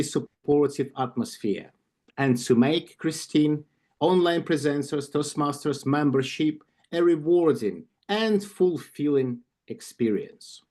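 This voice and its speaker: A man with a Ukrainian accent reading an induction script on a video call, slowly and carefully, with asides and small self-corrections. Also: fairly high pitch, a little mellow. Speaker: club vice president of membership. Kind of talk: reading an induction ceremony script at an online club meeting.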